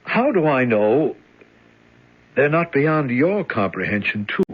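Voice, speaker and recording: An actor playing a questioning, hesitant male deputy with a husky voice in an old-time radio drama. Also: mid-range voice, big pitch swings, slow, lo-fi sound, full character voice. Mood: doubtful, reluctant. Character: doubtful, needling male deputy to a boss.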